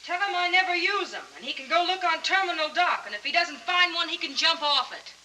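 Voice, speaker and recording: High, brash noir wisecracker woman. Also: lively intonation, brisk, sharp tone, heavily acted. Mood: indignant, sassy. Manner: indignant, wisecracking. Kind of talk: 1940s film noir acting. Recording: old film soundtrack, distant from mic.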